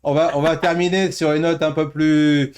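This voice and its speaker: A man's voice: a little high, level tone, normal speed, over a noisy crowd. A man co-hosting a pop-culture news show in casual, jokey group chat.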